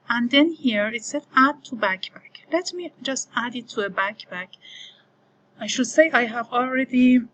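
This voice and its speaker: Farsi-speaking woman instructor, screen tutorial, unhurried, bright tone, lots of "uh"s. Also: mid-range voice, rough recording. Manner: unhurried, thinking aloud with frequent fillers. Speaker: online course instructor. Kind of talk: screen-recorded tutorial walkthrough.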